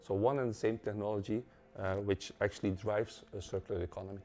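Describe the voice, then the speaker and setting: A man, a company's head of innovation, calmly explaining to camera with frequent fillers. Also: fairly low pitch, quick pace.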